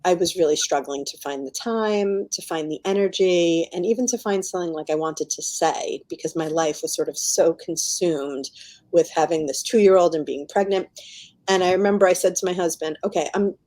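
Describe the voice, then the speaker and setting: A woman's voice: on the low side, normal speed, warm tone. A middle-aged woman chatting casually as a podcast guest, emphatic and encouraging, often laughing as she talks. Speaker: guest novelist.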